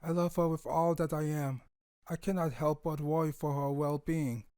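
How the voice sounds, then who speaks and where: A man's voice: fairly high pitch, fairly even intonation, moderate pace, dark tone, smooth, dry recording, studio quality, close to an everyday voice. A man voicing a devoted young male pony, an expectant father, earnest and tender in a storybook reading. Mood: tender worry. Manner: earnest, tender, formal phrasing.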